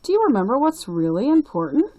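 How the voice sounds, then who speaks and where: A woman voicing a kindly, wise grandpa in a storybook, gently encouraging and emphatic. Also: on the high side, big pitch swings, fairly quick, highs cut off, a little hiss or hum, voice pushed up. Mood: reassuring.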